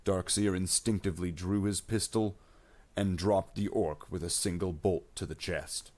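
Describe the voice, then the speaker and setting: Low-pitched man narrating science fiction. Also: steady tone, moderate pace, a little mellow. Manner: plain reading without character voices. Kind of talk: scripted audiobook-style narration of science fiction fiction.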